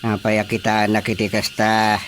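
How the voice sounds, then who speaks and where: An actor with an Ilocano accent playing a terse old father who answers slowly in a radio drama. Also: medium pitch, monotone, a little hiss or hum, natural voice. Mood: subdued. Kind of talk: radio drama comedy acting.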